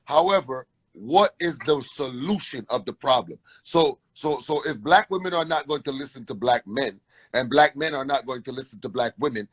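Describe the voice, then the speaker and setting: A man's voice: mid-range voice, expressive, moderate pace, breathy edge. A man hosting a panel discussion, rambling through restarts and rhetorical questions.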